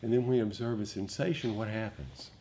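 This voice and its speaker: A man giving a dharma talk as a meditation teacher, speaking reflectively and gently, with frequent pauses. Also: fairly low pitch, fairly even intonation. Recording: noticeable background noise.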